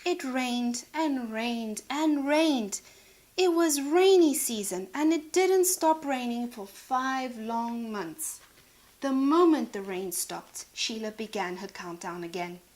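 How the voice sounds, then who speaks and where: A woman narrating a children's storybook in a dramatic, expressive way. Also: a little high, slow pace. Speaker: storybook reader.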